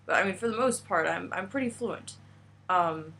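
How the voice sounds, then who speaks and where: Bright-voiced chatty American woman. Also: fairly low pitch, normal speed, vocal fry, slight background noise. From United States. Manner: chatty, rambling with fillers and restarts. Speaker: vlogger. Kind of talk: casual travel vlog chat.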